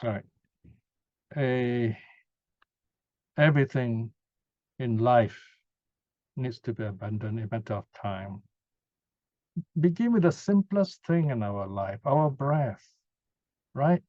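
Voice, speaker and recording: A man's voice: medium pitch, expressive, slow, pauses often, dry recording. A man giving an informal Buddhist teaching talk and answering questions, conversational and often checking in with short tag questions. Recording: dull lo-fi sound, dry.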